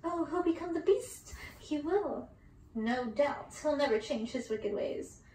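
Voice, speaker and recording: Woman as wicked witch sister, storybook, high voice, vindictive, accusing, noisy recording. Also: lively intonation, measured pace, darkish tone, reverberant, recorded from a distance. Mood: vindictive glee. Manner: accusing, gleefully menacing.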